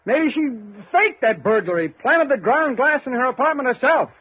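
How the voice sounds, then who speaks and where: A defensive, aggrieved young American man in an old radio mystery. His very husky voice swings widely in pitch, hesitant and evasive, quick to take offence. The recording is dull and lo-fi. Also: big pitch swings, heavily acted. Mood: indignant, agitated. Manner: hesitant, evasive, turning agitated. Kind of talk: 1950s radio drama acting.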